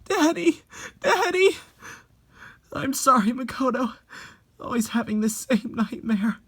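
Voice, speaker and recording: Performer voicing a timid, high-pitched young wife. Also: animated, slow pace, slightly rough, heavily acted. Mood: apologetic, shaken. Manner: hesitant, stammering when startled. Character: anxious young newlywed woman narrating her story, timid and easily frightened. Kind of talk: dramatic reading of a horror manga story.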